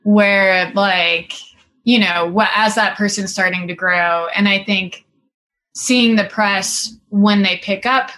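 A woman's voice: mid-range voice, fairly even intonation, takes it slowly, fairly bright tone. A woman in her thirties from Singapore, a film director chatting casually as an interview guest, talking in long runs packed with "like" and "you know" and laughing easily.

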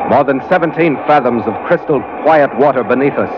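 A man's voice: medium pitch, a little fast, background noise, close to an everyday voice. An actor playing a seasoned sea captain, narrating his log in a 1940s radio adventure style, moving between clipped entries and lyrical storytelling. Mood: vivid, absorbed. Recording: dull vintage radio recording with music.

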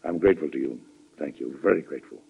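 A man's voice: a bass voice, fast talker, vocal fry, over loud music, exaggerated voice. An actor playing a weary but firm, fatherly American president in an old-time radio drama, speaking earnestly with authority and occasional hesitation. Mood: measured, conciliatory. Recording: muffled vintage radio drama recording.

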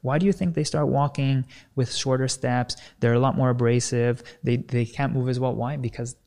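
Gravelly-voiced man explaining. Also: mid-range voice, moderate pace. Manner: energetic teaching tone, frequent "right?" checks. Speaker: kinesiologist guest. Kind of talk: explanatory podcast conversation.

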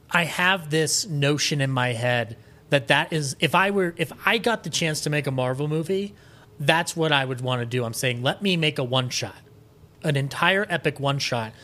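A man with a slightly high voice chats as a podcast guest. He is enthusiastic and opinionated, rambling through his ideas in an animated, casual way. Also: moderate pace. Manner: animated, rambling, opinionated, acts out imagined quotes.

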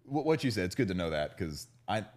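A man's voice: deep voice, sing-song, fast, darkish tone. A man chatting casually as a podcast host, frank and conversational in how he puts his points. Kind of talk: casual chat.

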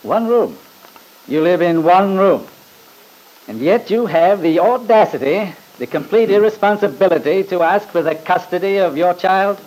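A man's voice: high voice, animated, normal speed, a little mellow, low-quality recording. A man playing a pompous, badgering attorney in an old radio drama, formal and sarcastic. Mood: haughty, badgering. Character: scornful, badgering male attorney, pompous and theatrical.

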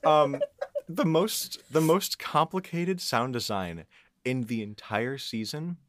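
A man's voice: mid-range voice, slow, a bit bright, a little hiss or hum. A man telling anecdotes in casual chat as a podcast guest, acting out quotes as he goes. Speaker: podcast guest, composer and audio engineer.

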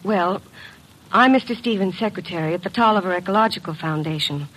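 A woman's voice: lowish voice, a little fast, fairly bright tone, slightly rough, low-quality recording. A woman acting a frightened young secretary in a vintage radio detective drama, speaking politely and hesitantly. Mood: worried, hesitant.

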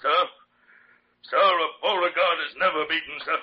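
Actor as a blustering old Southern colonel. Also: male voice, on the high side, steady tone, normal speed, slight background noise, natural voice. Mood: defiant. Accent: American Southern. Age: older. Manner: pompous, courtly, sputtering. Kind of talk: 1940s radio acting. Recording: muffled old radio recording.

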